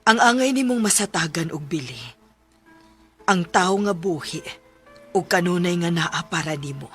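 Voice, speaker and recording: A woman with a Cebuano Filipino accent playing a caring, wise mother in a radio drama, gentle and expressive. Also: on the low side, normal speed, close to an everyday voice. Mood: gentle, reassuring. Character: caring, wise older mother counselling her widowed daughter. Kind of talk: Philippine radio drama acting. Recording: music bed under the voice.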